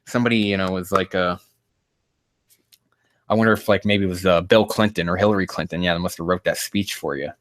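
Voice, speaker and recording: Low-voiced man doing sarcastic fan fiction readings. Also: steady tone, brisk, pauses often, a bit bright, no echo. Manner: profane, mocking, exasperated outbursts. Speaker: host.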